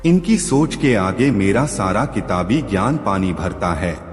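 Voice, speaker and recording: A man voicing a humbled court scholar, low and roomy. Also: expressive, measured pace. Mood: formal. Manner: formal, reverent address to the emperor. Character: proud elderly male court scholar, humbled. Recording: long hall reverb with music bed.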